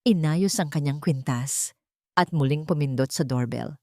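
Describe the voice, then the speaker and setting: A woman's voice: deep voice, unhurried, a little mellow, dry recording, studio quality. A woman storyteller reading scripted fiction in a dramatic narration style.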